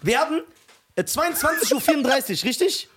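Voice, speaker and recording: A man's voice: extremely high pitch, big pitch swings, fairly quick, a bit bright, slight background noise. A young man co-hosting a podcast, teasing his partner in casual banter and laughing often. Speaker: podcast co-host.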